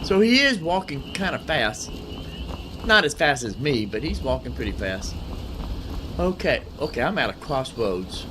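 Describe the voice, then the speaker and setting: A man's voice: medium pitch, big pitch swings, unhurried. A man giving casual, chatty let's play commentary, thinking aloud as he goes. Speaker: gaming channel host. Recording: clear background noise.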